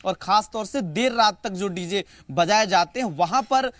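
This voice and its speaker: High-voiced male news correspondent. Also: normal speed, a bit bright, no echo, studio quality. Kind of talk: unscripted live news report.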